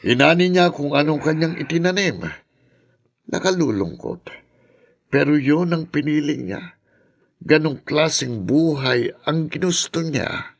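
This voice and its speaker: An actor playing a loyal middle-aged friend who speaks in a calm, consoling way. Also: male voice, on the high side, measured pace, creaky, heavily acted. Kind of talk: audio drama acting.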